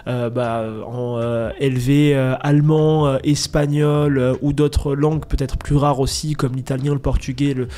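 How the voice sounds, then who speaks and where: A man's voice: medium pitch, level tone, unhurried, few pauses, warm tone. A man hosting an interview, asking friendly questions with some hesitation. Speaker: interviewer, host.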